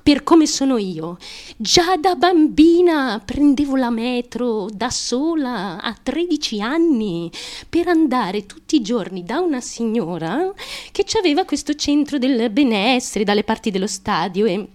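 Fragile young striver, very high voice, childlike and insistent, theatrical radio monologue. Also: female voice, lively intonation, a little mellow. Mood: confiding, strained. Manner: childlike, insistent, halting, repetitive, with nervous giggles. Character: small, driven, fragile young woman confessing, fiercely chasing fame.

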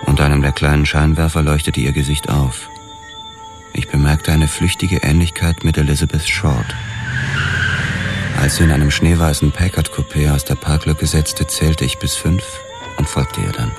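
An actor playing a brooding police detective who narrates his case in a measured, world-weary way in a radio drama. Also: rumbling low, steady tone. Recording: loud music under the voice.

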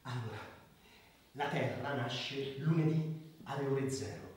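A man acting in a radio drama as an idealistic doctor, orating with emphatic pauses like a public crusader. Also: medium pitch, darkish tone, lightly acted. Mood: earnest oratory. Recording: very echoey hall, far from the mic.

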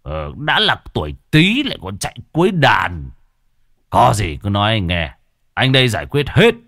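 A man voicing a boastful, scruffy aging male sorcerer who curses and mutters gruffly. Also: fairly low pitch, big pitch swings, moderate pace, a bit bright, no echo, clean studio sound, heavily acted.

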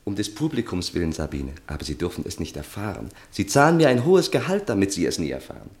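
An actor playing a weary, hard-drinking young charmer in a theatrical, cajoling 1960s German radio play style. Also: male voice, low voice, big pitch swings, quick pace, darkish tone. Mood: weary, exasperated.

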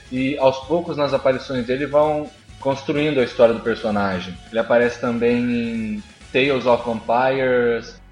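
A man with a Brazilian accent chatting casually as a podcast co-host, opinionated and slangy. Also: mid-range voice. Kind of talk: casual chat. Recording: roomy echo. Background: faint music.

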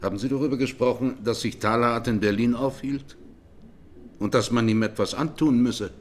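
An actor playing a sober presiding judge in a 1920s trial radio drama, questioning formally and insistently. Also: male voice, medium pitch, normal speed, a little hiss or hum, lightly acted.

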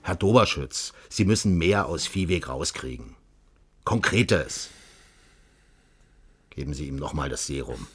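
A man's voice: extremely low pitch, expressive, measured pace, long pauses, a bit bright, slightly rough, heavily acted. An actor playing a secretive, commanding East German official in a radio drama, brusque and evasive as he gives instructions.

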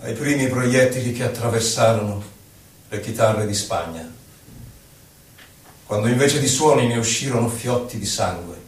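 Echoey, solemn man declaiming poetry. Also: medium pitch. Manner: solemn, declamatory, with drawn-out phrases. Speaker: actor reciting poetry. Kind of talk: theatrical poetry recitation with music. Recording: very echoey, distant stage recording with music. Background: prominent violin music.

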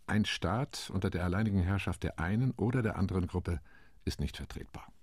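Male actor as a middle-aged UN delegate for a radio drama: deep, raspy, steady reporting tone. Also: dry recording, clean studio sound. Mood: measured. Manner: measured, reporting. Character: sober male diplomat recounting committee talks. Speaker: UN commission delegate. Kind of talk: radio play monologue.

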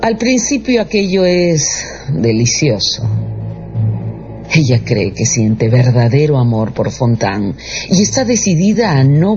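A man's voice: mid-range voice, mellow. A man giving scripted dramatic narration as the storyteller of a radio drama. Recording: dull radio production with music underneath.

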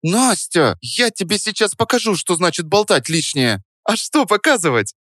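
A man voicing a lively young woman in an audiobook, teasing and easily huffy. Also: on the high side, fairly quick, no echo, studio quality, voice pushed up. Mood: mock outrage. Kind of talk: audiobook character voice.

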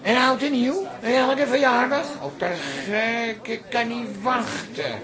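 Comic radio role: sarcastic, sulky woman played by an actress, high pitch, crowd behind. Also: fairly even intonation, unhurried, natural voice. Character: sarcastic, sulking woman fed up with family. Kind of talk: comic radio play acting.

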